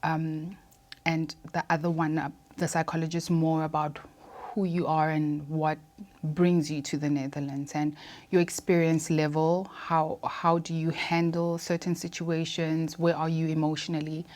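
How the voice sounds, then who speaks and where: Lower-pitched South African woman. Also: little pitch movement, a little slow, darkish tone. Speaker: interview guest, air traffic controller. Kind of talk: conversational interview answers.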